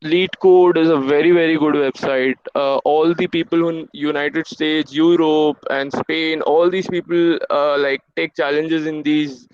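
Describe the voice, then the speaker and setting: A man's voice: on the high side, fairly even intonation, a little mellow, a little hiss or hum. A young man in his twenties, a native Hindi and Urdu speaker, gives encouraging career advice to students in a webinar. He hesitates often with "uh" fillers. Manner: halting, with many "uh" fillers, encouraging. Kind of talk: motivational career-advice talk. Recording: dull lo-fi online call audio.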